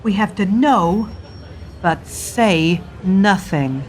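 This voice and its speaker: An actress playing an anxious, secretive senior bank worker in a radio play, speaking with urgency and emphasis. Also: female voice, mid-range voice, lively intonation, extremely slow, a little mellow. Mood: worried, urgent. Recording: clear background noise.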